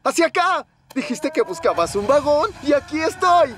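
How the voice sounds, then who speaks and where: A man's voice: very high-pitched, big pitch swings, moderate pace, fairly bright tone, full character voice. A man voicing an eager, pleading abandoned railway coach in a Latin American Spanish cartoon dub. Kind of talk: animated children's cartoon dubbing.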